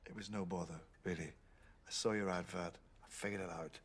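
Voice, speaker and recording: A man's voice: deep voice, steady tone, normal speed, a little hiss or hum, close to an everyday voice. An actor in his fifties playing a newly changed older male time traveller who talks in a blunt, awkward, abrupt way, in scripted TV drama. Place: period restaurant set.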